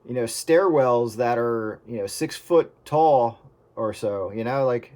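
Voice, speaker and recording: A man's voice: mid-range voice, a little slow, darkish tone. A man chatting casually and musingly with friends over CB radio. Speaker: participant in CB radio chat.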